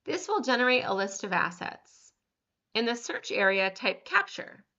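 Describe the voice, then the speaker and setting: A woman reading scripted step-by-step instructions as a tutorial narrator, measured and clearly enunciated. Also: on the high side, very animated, bright, forceful tone, muffled recording.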